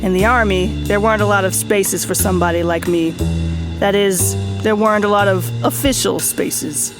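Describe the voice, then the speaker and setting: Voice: mid-range voice, fairly even intonation, normal speed, lightly acted. Actor doing a tough wry noir detective, streetwise war vet, radio play style, music playing under it. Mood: reflective. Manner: wry, folksy, streetwise hardboiled talk. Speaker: lead character and narrator. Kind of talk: noir radio drama dialogue and narration. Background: background music.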